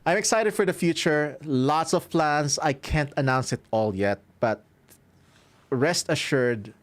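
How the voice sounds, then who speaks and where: A man's voice: a little high, a little slow. Upbeat Filipino man signing off a stream. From Philippines. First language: Filipino language. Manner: chatty, upbeat, chuckles often. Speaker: streamer and violinist. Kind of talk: casual livestream sign-off to chat.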